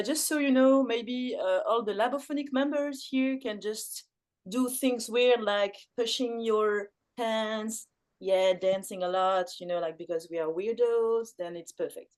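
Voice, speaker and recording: A woman's voice: a little high, unhurried, darkish tone. A woman from Belgium, facilitating an online forum in English, with frequent fillers and restarts. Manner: hesitant, many fillers and restarts. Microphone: video call.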